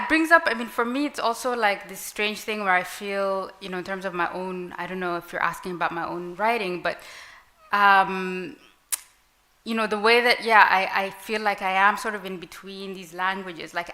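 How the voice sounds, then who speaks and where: Bright-voiced woman, Arabic native speaker. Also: medium pitch, fairly even intonation, normal speed. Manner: reflective, hesitant, many fillers and restarts. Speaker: panelist author. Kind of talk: live panel discussion. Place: literary event with audience.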